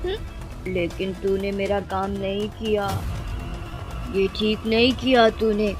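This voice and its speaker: A vengeful ghost of an old mother-in-law, acted by a woman, menacing and accusing. She stretches her words slowly in storybook fashion, with prominent music behind her. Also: mid-range voice, level tone, voice pushed down, lightly acted. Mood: menacing, gloating. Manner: menacing, drawn-out accusing delivery. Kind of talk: dramatised Hindi moral story.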